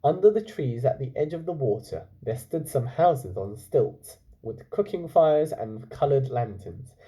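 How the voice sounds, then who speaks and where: Mellow, lively male storyteller. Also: mid-range voice, low-quality recording. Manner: expressive, pauses to question listeners. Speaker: teacher reading a story aloud to children. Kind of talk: storytelling read-aloud with asides and questions to young listeners.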